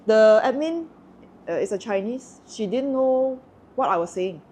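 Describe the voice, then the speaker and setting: A woman's voice: on the high side, lively intonation, measured pace, darkish tone. A woman from Malaysia with a Malaysian English accent, chatting casually and giving strong, indignant opinions. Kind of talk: casual chat.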